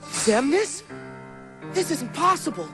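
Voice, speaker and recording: Teenage boy hero, game: lively intonation, eager and good-hearted, over music. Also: very high-pitched, slow pace, exaggerated voice. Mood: challenging, puzzled. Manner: earnest, eager. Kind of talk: animated video game voice acting.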